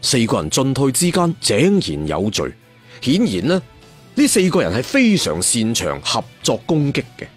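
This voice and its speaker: Very animated male storyteller, husky. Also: medium pitch, normal speed, soft background music. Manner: animated, conversational, with spoken sound effects. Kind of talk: dramatic audiobook storytelling of a martial arts tale.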